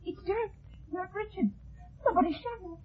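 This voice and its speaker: Radio melodrama dame: high-pitched, breathless, scared and pleading, on a muffled old recording. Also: female voice, moderate pace. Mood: panicked. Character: frightened, pleading woman fleeing a murder scene. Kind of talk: 1940s-style radio melodrama acting.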